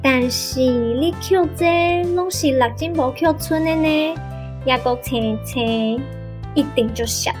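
Woman playing a skeptical, slow-talking little girl. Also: high-pitched, over music. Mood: doubtful. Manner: questioning, fussy. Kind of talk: children's radio drama acting.

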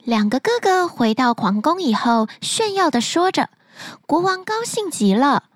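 A woman narrating a fairy tale as a bedtime story, gently and expressively, close to the mic. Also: high-pitched, sing-song, measured pace, no echo.

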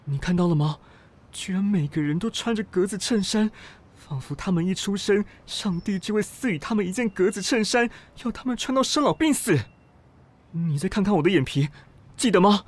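A man's voice: high voice, expressive, moderate pace. A man playing a fussy, petulant magazine boss who despises ugliness, in a radio drama. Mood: agitated, outraged. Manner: melodramatic, petulant.

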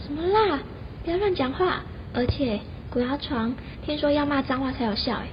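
A young woman with a Taiwanese Mandarin accent playing an excitable, easily spooked dorm student in an amateur campus radio comedy. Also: high-pitched, normal speed, dull audio, background noise. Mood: uneasy. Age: young adult. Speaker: student radio drama actor.

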